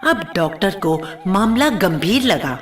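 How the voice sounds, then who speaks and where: A woman's voice: on the low side, animated, unhurried, soft background music. A woman narrating a scripted cartoon story as a storyteller.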